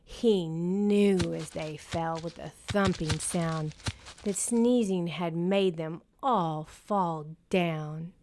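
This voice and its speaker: A woman narrating a children's picture book in an expressive, deliberate storyteller's manner. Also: lowish voice, slight background noise. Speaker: storyteller and channel host.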